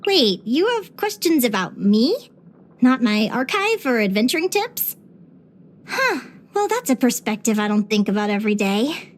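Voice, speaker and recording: A young female adventurer from a fantasy video game. Her voice sits very high and girlish. She speaks with earnest, expressive wonder, like a cheerful naturalist who is curious about the whole world. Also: moderate pace. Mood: eager, animated. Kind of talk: video game character voice lines.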